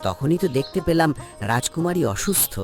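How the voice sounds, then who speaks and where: A man's voice: fairly high pitch, very animated, a little fast, over music. A man voicing a young adventurer in a dramatic fairy-tale cartoon dub. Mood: assertive. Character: young adventurer friend with magic flying carpet.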